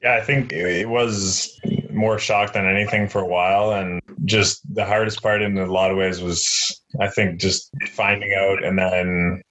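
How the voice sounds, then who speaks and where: A young man chats as a podcast guest. His voice is low yet bright and forward. He talks loosely and casually, with plenty of fillers. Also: level tone, measured pace, hardly any pauses, rough recording. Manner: easygoing, loose, with many fillers. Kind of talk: casual interview answers.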